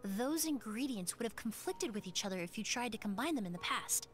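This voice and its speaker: An actress voicing a calm, composed young woman perfumer who lays out the case in a video game, over game music. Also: fairly high pitch, fairly quick, close to an everyday voice.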